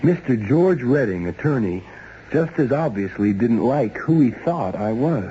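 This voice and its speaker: An actor playing a steady, wry ex-cop investigator in a 1970s radio drama, with plain-spoken, probing delivery. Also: male voice, medium pitch, measured pace, close to an everyday voice. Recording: dull, noisy old radio recording.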